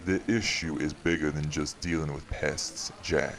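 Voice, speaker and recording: An actor playing a stern, dry-witted community guardian with a formal, sardonic, measured delivery in an audio roleplay. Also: male voice, rumbling low, fairly even intonation, soft background music. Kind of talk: audio roleplay acting, dramatic dialogue.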